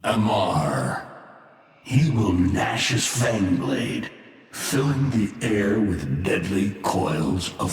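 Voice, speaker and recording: Ancient, echoing machine warlord, very slow. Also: male voice, fairly low pitch, exaggerated voice. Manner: slow, grandiose, theatrical, sardonic. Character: ancient, bitter, menacing sentient machine warlord, male. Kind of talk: scripted video game villain monologue. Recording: cavernous echo, long reverb tail.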